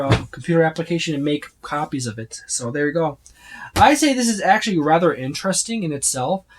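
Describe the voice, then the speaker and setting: A tabletop game reviewer giving a casual, unscripted overview, speaking haltingly with repeated words and trailing lists. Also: mid-range voice, slight background noise. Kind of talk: casual unscripted book flip-through overview.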